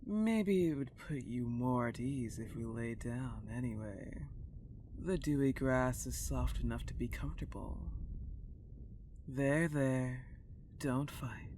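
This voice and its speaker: A woman playing a dark, possessive older vampire countess in an intimate ASMR roleplay, teasing and condescending as she lingers on her words. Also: extremely low pitch, slow pace, a little hiss or hum. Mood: teasing, gloating.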